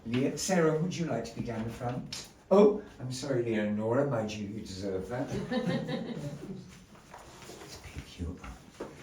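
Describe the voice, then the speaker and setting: A man's voice: medium pitch, a little slow, mellow. A man acting as master of ceremonies at a puppet show in a gallery, compering with flamboyant, mock-dramatic showmanship. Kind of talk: theatrical stage compering. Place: indoor gallery venue. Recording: roomy, distant, faint background noise.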